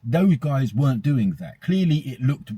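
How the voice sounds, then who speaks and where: A man's voice: mid-range voice, sing-song, measured pace, dark tone, slightly rough, no room sound, dull audio. A man with a British accent co-hosting a podcast, giving opinions in casual conversation with an emphatic, colloquial manner and repeating phrases for emphasis. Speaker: podcast co-host.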